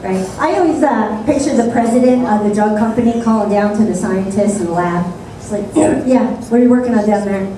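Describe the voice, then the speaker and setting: A woman doing a stand-up comedy set on a club stage, with deadpan, sarcastic asides. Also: medium pitch, fairly quick, noisy background. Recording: echoey club sound from a distant mic.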